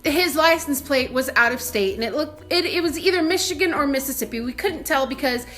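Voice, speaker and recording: A young woman vlogger telling a personal story in a casual, animated and emphatic, indignant way. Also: high-pitched, brisk, soft background music.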